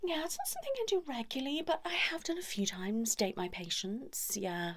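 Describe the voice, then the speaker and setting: A British woman doing a whispered ASMR role-play as a chatty, cheeky couples' therapist, breathy and soft. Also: on the high side, animated, brisk, a little hiss or hum. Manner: chatty, cheeky, improvised asides to imagined clients.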